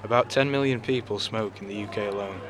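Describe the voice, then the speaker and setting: A man giving measured, serious scripted documentary narration. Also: on the low side, a bit bright, a little hiss or hum. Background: guitar music.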